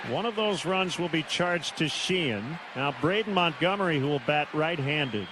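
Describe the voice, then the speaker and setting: A man with a fairly high voice does live baseball play-by-play from a stadium booth. He delivers it in short, measured phrases, leaving gaps between plays, with clear background noise under the broadcast. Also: expressive. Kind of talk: live radio play-by-play commentary. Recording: noisy stadium radio broadcast.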